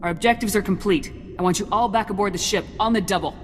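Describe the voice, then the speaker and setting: An actor playing a confident special forces captain in a video game, giving firm, clipped commands. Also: male voice, sits high, fast, faint music behind, natural voice. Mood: commanding. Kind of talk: video game cutscene acting.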